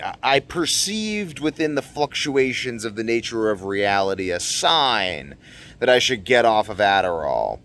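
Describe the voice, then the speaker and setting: A young man talking casually to camera in a rambling, slangy vlog monologue, animated and given to acting out what people said. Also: lowish voice. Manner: animated, exclamatory, slangy, acts out quotes. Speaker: vlogger.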